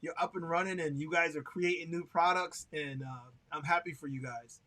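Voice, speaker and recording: A man's voice: on the high side, steady tone, moderate pace, bright, forceful tone, poor audio. A man hosting a casual livestream interview, chatty and laughing often, with lots of filler words. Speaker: show host.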